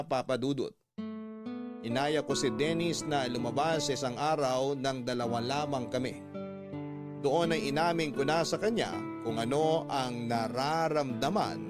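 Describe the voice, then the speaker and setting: A man's voice: mid-range voice, unhurried, over music, close to an everyday voice. A man with a Filipino accent playing a lovelorn letter writer, narrating a love story in a scripted radio drama. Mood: reflective.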